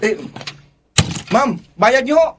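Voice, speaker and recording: A wheedling, jokey taxi driver, apologizes his way through each line in comic radio drama style. Loud car noise surrounds the voice. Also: extremely high pitch, lively intonation, takes it slowly, heavily acted.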